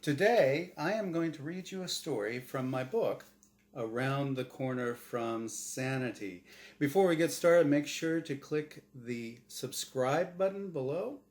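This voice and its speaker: A man narrates a story as its author. His delivery is slow and deliberate, with lively swings in pitch. It is a dramatic, scripted reading.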